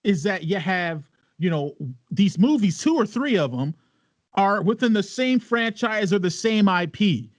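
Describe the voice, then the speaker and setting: A man's voice: high-pitched, animated, unhurried, no room sound. A man, a podcast panelist who banters casually in an argumentative, exasperated way.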